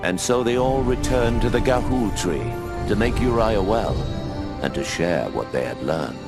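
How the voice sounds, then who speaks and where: A man's voice: lowish voice, expressive, measured pace. A male actor playing a solemn storyteller narrating a fantasy legend in a video game. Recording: music under the voice. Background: music.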